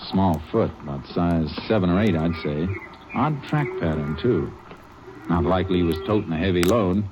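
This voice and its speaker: Deep-voiced methodical lawman. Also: animated, normal speed. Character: calm methodical male lawman detective. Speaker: Texas Ranger investigator. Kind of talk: 1950s radio drama acting and narration. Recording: muffled hissy old radio recording.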